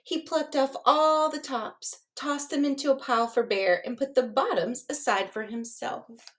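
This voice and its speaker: A woman with a fairly high voice reads a children's story aloud at a very slow pace. She is expressive, in the way of a teacher, and steps out of the tale to put questions to the young listeners. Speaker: teacher reading aloud to their class.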